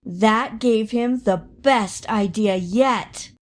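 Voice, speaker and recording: A woman reading a children's story aloud in an expressive, dramatic way, drawing out words for emphasis. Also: fairly high pitch. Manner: dramatic, with drawn-out emphasis and acted sound words. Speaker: storybook reader.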